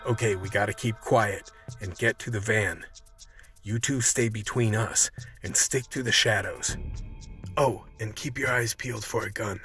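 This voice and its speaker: Radio play lead: blunt, world-weary cop narrator, lowish pitch, gritty storytelling over loud music. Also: male voice, a little slow. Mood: urgent. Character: hard-boiled male cop recounting a grim ordeal, weary and blunt.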